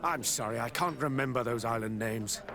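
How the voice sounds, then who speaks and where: A man's voice: medium pitch, moderate pace, a crowd in the background, close to an everyday voice. An actor playing a stern, pious, middle-aged father in a video game, haughty and bitter in his formal speech. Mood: stern, resolute. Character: stern, pious, scornful father of grown daughter. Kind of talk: video game cutscene dialogue.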